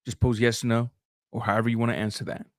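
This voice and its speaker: A man's voice: mid-range voice, little pitch movement, fast talker, no room sound, studio quality. Casual Spanish-speaking man streaming boxing talk. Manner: loose, conversational, with frequent restarts and filler sounds. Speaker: boxing channel host. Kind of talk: live-stream commentary, reading super chats.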